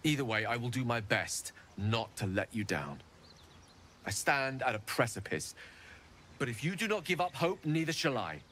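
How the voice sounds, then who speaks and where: An actor playing an eloquent, self-deprecating wizard in a video game, speaking in a theatrical, articulate way. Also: male voice, mid-range voice, big pitch swings, moderate pace, a little hiss or hum. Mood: grateful. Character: wizard man, eloquent, wry, melancholy. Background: soft game music.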